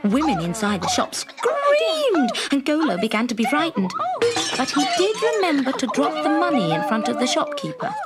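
High-pitched, animated woman storyteller. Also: normal speed, loud music behind the voice. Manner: expressive, dramatic storytelling. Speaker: storyteller, audiobook reader. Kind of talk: scripted children's story narration.

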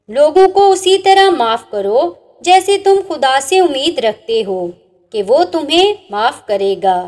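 Slow, high-voiced woman reciting sayings. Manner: measured recitation, each saying ends on a dropping, softer note. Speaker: narrator. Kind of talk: reading inspirational religious sayings over slides.

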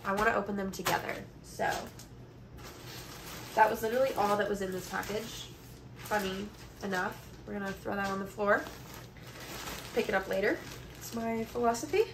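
A young woman with a slightly stuffed-up, congested voice talks in a chatty, informal vlog style. Her pitch is average for a woman. It is recorded on a phone in a bedroom, with clear background noise. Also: fairly even intonation, normal speed, pauses often. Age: 20s. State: congested from allergies. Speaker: vlogger. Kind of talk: casual haul chat. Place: bedroom, indoors. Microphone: phone camera at about arm's length or further.

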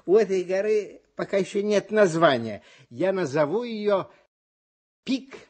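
A man acting as a kindly, playfully earnest mentor hosting a children's radio comedy, theatrical and expressive. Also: high voice, unhurried, slightly rough, heavily acted. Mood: confiding, hesitant. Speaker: host of a children's radio show.

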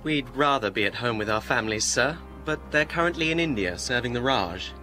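An actor with an English accent playing a flippant, well-spoken young Cambridge student in a period radio drama. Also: male voice, mid-range voice, animated, a little fast, bright, forceful tone, soft background music. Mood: sheepish. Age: young adult.

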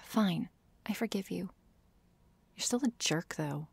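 Casting: woman as sardonic tsundere vampire girl for ASMR roleplay, low-pitched and soft, very close and dry. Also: lively intonation, takes it slowly, creaky, husky, no echo, full character voice. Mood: teasing, sarcastic. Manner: sardonic, hesitant, feigning indifference. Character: tsundere vampire girl, prickly, teasing, secretly lonely.

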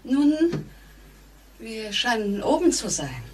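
A nervous woman with a fairly high voice that swings widely in pitch. She speaks haltingly and politely, with fear underneath. It is old radio-play acting on a noisy recording. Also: sing-song. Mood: guarded small talk. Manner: hesitant, halting, anxiously polite. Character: nervous, increasingly frightened woman trapped in an elevator.

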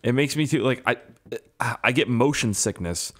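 A young man with a hoarse, strained voice giving casual, profane, self-mocking commentary while playing a game. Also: mid-range voice, normal speed, fairly bright tone. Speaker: gaming YouTuber. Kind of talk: casual let's-play commentary.

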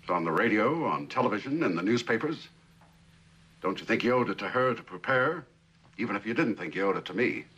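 A man in middle age playing a blunt, sardonic company boss in a 1960s TV drama, with a gravelly, husky, dryly needling delivery. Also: fairly low pitch, lively intonation, brisk, fairly bright tone, muffled recording. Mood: reproachful, sardonic. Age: middle-aged. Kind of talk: 1960s television drama acting.

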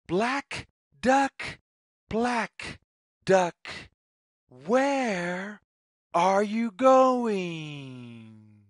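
A storyteller reading a children's read-along story in deliberate, sing-song phrases with pauses. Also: mid-range voice, expressive, no room sound, studio quality. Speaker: read-aloud narrator.

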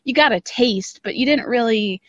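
A woman's voice: a little high, normal speed, no room sound. A woman chatting casually and informally as a podcast guest over a remote call. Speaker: podcast guest, audio drama creator. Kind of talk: casual interview chat. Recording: slightly dull lo-fi call audio.

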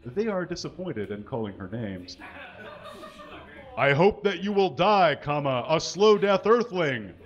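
A man giving a live comedic reading of fan fiction on a small stage, mostly dramatic with deadpan asides, reading punctuation aloud. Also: a little high, a little slow. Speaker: comedic reader. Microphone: stand mic. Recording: dull, lo-fi live recording.

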